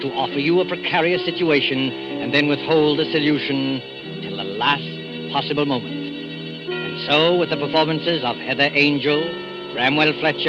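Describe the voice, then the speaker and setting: A man playing a mysterious, suave host of a suspense show, speaking formally with dramatic pauses in 1940s radio style. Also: mid-range voice, close to an everyday voice. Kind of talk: 1940s radio announcing, scripted narration. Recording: muffled vintage 1940s radio broadcast, background music.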